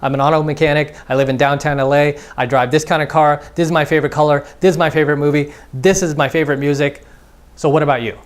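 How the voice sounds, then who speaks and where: A man voicing a dull young guy in a bar who lists plain facts about himself in flat small talk. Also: on the high side, fast, close to an everyday voice. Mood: eager. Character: dull chatty young male bar chump.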